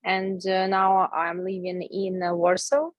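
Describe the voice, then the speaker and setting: Female interview guest with a Ukrainian accent. Mid-pitched, unhurried, hesitant English, muffled lo-fi sound. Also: monotone, no room sound. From Kyiv, Ukraine. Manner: hesitant, searching for words in halting English. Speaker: interview guest, refugee aid worker.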